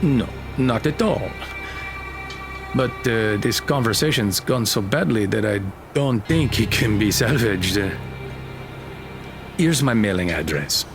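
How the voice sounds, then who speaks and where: A man's voice: on the low side, expressive, takes it slowly, long pauses. A man playing a genial, persistent military colonel in an audio drama, informal and a little hesitant. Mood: persuasive. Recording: music under the voice.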